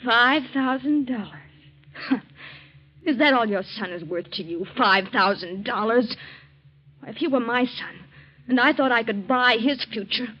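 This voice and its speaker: A woman's voice: on the high side, unhurried. An actress playing a proud, determined young woman from the poor side of town in an old-time radio drama, speaking with defiant emphasis. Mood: indignant, scornful. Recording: muffled old radio recording.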